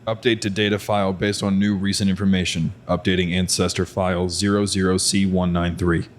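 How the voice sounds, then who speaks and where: In an audio drama, a man plays an impassive archivist reading out a data log. The voice is lowish and barely varies, clinical and factual. Faint ambient noise sits behind it. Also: little pitch movement, a little fast, natural voice. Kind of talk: scripted data log narration.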